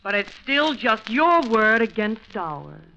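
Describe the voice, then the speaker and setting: A muffled 1940s radio recording carries a hard-boiled American murderess. Her voice is sharp and forceful, with slangy, defiant delivery in a crime thriller. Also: fairly high pitch, sing-song, slow pace, creaky, slight background noise, exaggerated voice. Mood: bitterly defiant. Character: tough, slangy female killer, cornered and defiant.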